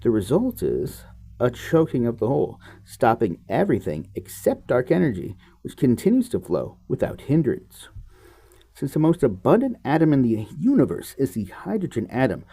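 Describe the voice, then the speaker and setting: Male book reader, musing and expressive, lively pitch swings over a creaky, fry-edged tone. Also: moderate pace. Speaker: host reading a book chapter aloud. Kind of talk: read-aloud of a book with offhand asides.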